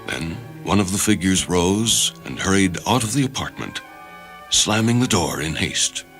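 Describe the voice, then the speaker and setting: A man narrates a dramatic short story in a low voice. His scripted delivery is measured and literary. Music runs softly behind him. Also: lively intonation.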